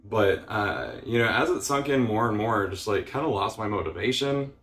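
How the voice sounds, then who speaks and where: A man's voice: lowish voice, lively intonation, moderate pace, fairly bright tone, roomy sound. A man talking casually into his camera in a frustrated, tired ramble, full of fillers and casual swearing. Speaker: vlogger. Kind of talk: casual personal vlog rant.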